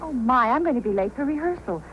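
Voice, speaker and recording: An actress playing a spirited, poised music hall performer in an old-time radio drama, speaking theatrically. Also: female voice, sits high, lively intonation, fast, a bit bright. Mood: bright, sociable. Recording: faintly noisy old radio recording.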